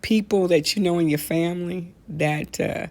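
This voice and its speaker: A middle-aged American woman hosting a casual talk show, telling stories in a blunt, animated, colloquial way and repeating phrases for emphasis. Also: lowish voice, normal speed. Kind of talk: casual comedic rant with storytelling.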